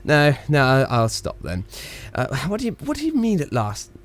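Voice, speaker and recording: An actor as a rambling, jokey radio announcer, higher-voiced man. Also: huge pitch swings, normal speed. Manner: stammering, digressive, cheeky. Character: jokey, rambling, self-mocking 1920s radio station announcer. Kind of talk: radio play, improvised-sounding comic broadcast patter.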